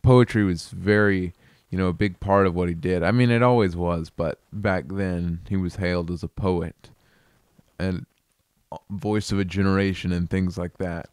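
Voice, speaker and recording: A man hosting a casual video, chatting about an album in a relaxed, amused, rambling way. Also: deep voice, moderate pace, smooth, dry recording. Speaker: video host reviewing an album. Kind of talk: casual commentary.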